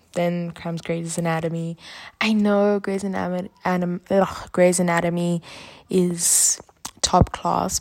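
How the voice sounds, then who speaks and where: Slow-talking Ugandan schoolgirl with American-sounding accent. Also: lowish voice, darkish tone. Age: about 12 to 13, grade seven. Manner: chatty, giggly, goes off on tangents. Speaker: vlogger. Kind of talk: casual rambling chat to camera. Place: school hostel room.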